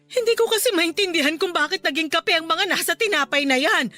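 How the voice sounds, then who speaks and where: A woman's voice: very high-pitched, fairly quick, no echo. A woman playing a worried, forgetful wife in a radio drama, pleading and apologetic. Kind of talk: Filipino radio drama acting. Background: soft background music.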